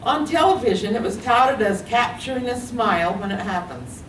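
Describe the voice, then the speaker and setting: Older American woman, lively expressive reading. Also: a little high, moderate pace. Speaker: writer reading own work. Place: public reading in a library room. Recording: echoey room, distant mic, faint noise.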